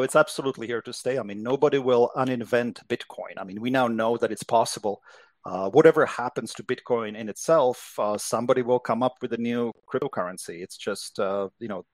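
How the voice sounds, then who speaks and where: A man's voice: mid-range voice, dry recording. A middle-aged man from Czechoslovakia, a native Czech speaker, explaining things as an interview guest. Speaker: interview guest, crypto investment executive.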